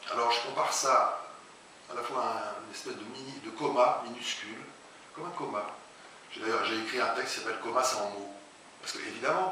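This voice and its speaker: Man talking haltingly in an echoey hall. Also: medium pitch, pushed and bright. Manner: halting, searching for words, many restarts. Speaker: translator and writer, interviewee. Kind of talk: public talk interview. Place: large echoey hall, before an audience. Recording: very echoey hall, far from mic, hiss.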